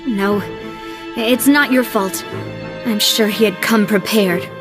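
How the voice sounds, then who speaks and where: An actress playing a passionate, determined young woman seeking justice in a game, earnest and deliberate. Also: mid-range voice, music in the background, close to an everyday voice. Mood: frustrated. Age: young adult. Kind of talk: dramatic game cutscene acting.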